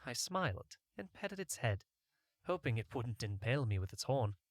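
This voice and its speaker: A man's voice: medium pitch, big pitch swings, moderate pace, dry recording. A man reading a first-person fantasy adventure story as a scripted audiobook narration.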